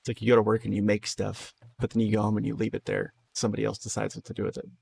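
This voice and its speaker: A man talking on a live game stream in a tired, hoarse voice that sits low and rasps with heavy fry. He rambles loosely and swears freely, with a faint hiss of noise underneath. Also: monotone, racing, a little mellow. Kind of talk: casual live-stream chat.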